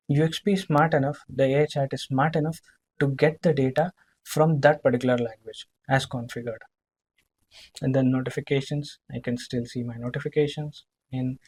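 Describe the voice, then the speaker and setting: A man demonstrating software in a live screen-share walkthrough, speaking haltingly, repeating himself and often checking in with a short tag question. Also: medium pitch, breathy edge, low-quality recording. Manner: halting, repetitive, checking in with "right?".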